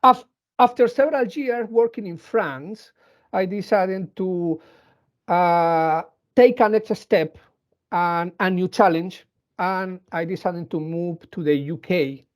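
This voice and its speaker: Slow, hesitant man with Latin American Spanish accent. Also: sits high. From Venezuela and Colombia. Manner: hesitant, many fillers and restarts. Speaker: robotics engineer addressing school pupils. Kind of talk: educational talk.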